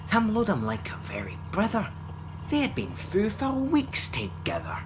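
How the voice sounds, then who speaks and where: Male Scottish poetry reciter. Unhurried, expressive storytelling with wide swings in pitch; noticeable background hum on the recording. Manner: theatrical, expressive storytelling delivery. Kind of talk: dramatic recitation of Scots narrative verse.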